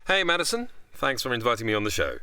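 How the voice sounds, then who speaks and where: A man's voice: mid-range voice, wildly swooping pitch, fast talker, bright, forceful tone, clean tone, no echo, studio quality. A man with a British accent, a podcast guest giving scripted explanations in a narrating style.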